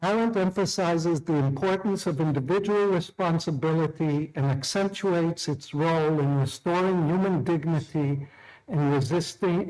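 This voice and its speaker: A middle-aged man from Israel, a law professor, delivering a measured, deliberate prepared speech with small restarts. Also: a little high, darkish tone, low-quality recording. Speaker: law professor opening a tribunal.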